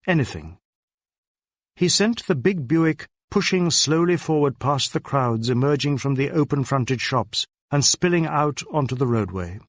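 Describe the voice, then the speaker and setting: A man reading a detective novel as a narrator, in a measured, deliberate storytelling manner with careful phrasing. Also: medium pitch, dry recording, studio quality. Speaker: audiobook narrator.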